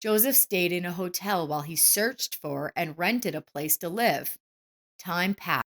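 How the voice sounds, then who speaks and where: A woman's voice: lowish voice, lively intonation, unhurried, a bit bright. A woman reading a scripted, dramatic story as its narrator.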